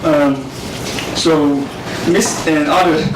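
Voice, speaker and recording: A young man, giving a student presentation in a class, halting, with many fillers and self-corrections. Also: on the high side, fairly even intonation. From Taiwan. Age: 20s. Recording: echoey, distant mic with noise.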